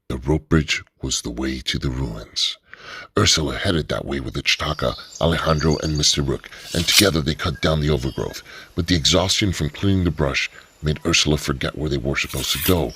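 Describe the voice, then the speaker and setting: A man narrates a radio drama in an extremely deep voice with a husky edge. His storytelling is steady and measured, recorded dry and close. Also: no room sound. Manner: steady, low storytelling delivery.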